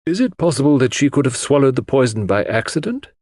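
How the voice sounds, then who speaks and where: A man acts a formal coroner in a mystery audiobook, brisk and inquisitive. The questions swing upward with lively intonation, in a dry recording. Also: medium pitch, slightly rough, dry recording, pitched above the performer's own voice. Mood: probing, formal. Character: brisk, probing male coroner questioning witnesses.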